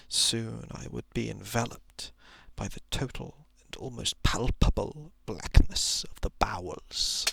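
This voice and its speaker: Male ASMR narrator: very gravelly, slow and deliberate, soft-spoken with whispered dips, literary reading on a close mic. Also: mid-range voice, no echo. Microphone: close microphone.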